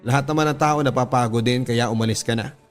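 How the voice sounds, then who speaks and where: A man's voice: mid-range voice, steady tone, quick pace, close to an everyday voice. A man reads the part of a worn-out Filipina woman in a radio drama. The delivery is weary but firm, imploring to be let go, with faint music underneath. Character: weary young woman firmly ending a relationship. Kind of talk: radio drama character dialogue.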